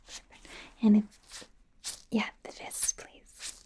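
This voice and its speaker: A woman's voice: takes it slowly, close to an everyday voice. Woman acting a whispering, nervous werewolf doctor. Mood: gentle, apologetic. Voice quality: soft, breathy whisper. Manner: hesitant, stammering, self-correcting, apologetic. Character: nervous, friendly young female werewolf doctor in training. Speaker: ASMR roleplayer. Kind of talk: whispered ASMR medical roleplay.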